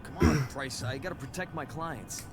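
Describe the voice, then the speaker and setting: An actor playing a gruff, curt and guarded drug dealer in a video game. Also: male voice, medium pitch, normal speed, loud music behind the voice, lightly acted. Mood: irritated, guarded. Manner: surly, curt.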